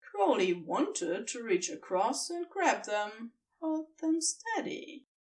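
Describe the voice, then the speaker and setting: Woman narrator, highish voice, animated delivery, scripted story reading, slightly distant mic. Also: slow. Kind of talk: scripted fan fiction narration.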